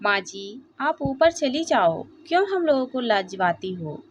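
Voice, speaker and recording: A woman narrator plays a haughty, dismissive wife and daughter-in-law in a storybook tale. Her voice is fairly high and animated, with a complaining edge. The recording is a bit dull. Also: unhurried, slight background noise. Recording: dull, lo-fi sound.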